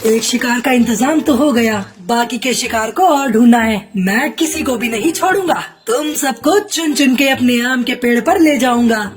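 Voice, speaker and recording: A woman's voice: fairly high pitch, normal speed, few pauses, natural voice. A woman voicing a sly magician disguised as a male crow fruit-seller, coaxing and scheming, in a cartoon story. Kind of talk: Hindi cartoon story voice acting.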